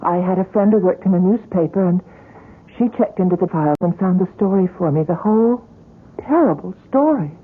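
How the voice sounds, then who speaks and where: A gentle, concerned young woman in an old radio mystery. Her husky voice is earnest and hesitant, with wide dramatic swings, on a muffled recording. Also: on the low side, lively intonation, brisk. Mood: reflective.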